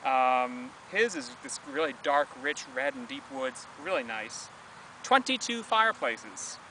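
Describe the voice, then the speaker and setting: A man's voice: mid-range voice, animated, unhurried, sharp tone, a little hiss or hum. A young man co-hosting a travel vlog, chatting casually and jokily outdoors on a lawn, recorded on a camera several meters away. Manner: jokey, chatty, trails off with ums. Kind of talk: casual on-location chat. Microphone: camera mic from a few meters away. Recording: distant outdoor camera audio.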